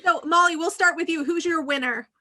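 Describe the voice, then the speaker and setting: A woman's voice: very high voice, brisk, bright, forceful tone. A middle-aged woman hosting a casual chat over a video call in an effusive, encouraging manner. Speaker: host, speech teacher.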